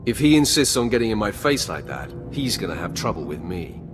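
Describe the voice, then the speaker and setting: A man's voice: fairly low pitch, sing-song, fairly quick, music in the background. An actor playing a confident, menacing Sith warrior in a video game, curt and dry in his delivery. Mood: defiant. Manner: terse, dry.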